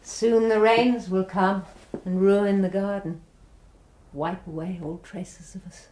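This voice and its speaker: An actress playing a proud, weary nun in charge of a mission, with a clipped, restrained manner, in a radio drama. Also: female voice, mid-range voice, fairly even intonation, takes it slowly, noisy background, natural voice.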